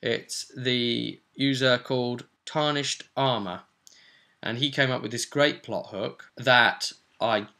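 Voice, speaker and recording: A man's voice: mid-range voice, fairly even intonation, takes it slowly, vocal fry, bright, forceful tone. A man hosting as game master, casually recapping a tabletop role-play session in a rambling, descriptive way.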